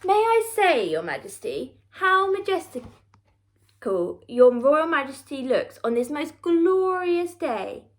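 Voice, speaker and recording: A woman voicing a creepy, obsequious royal portrait painter in a children's story, with oily, drawn-out flattery. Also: high voice, pitched above the performer's own voice, full character voice. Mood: fawning.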